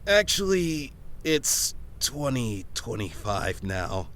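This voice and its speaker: A man's voice: mid-range voice, sing-song, slow, a bit bright. A man playing a modest, easygoing young boyfriend in a comedy audio drama, casual and unassuming.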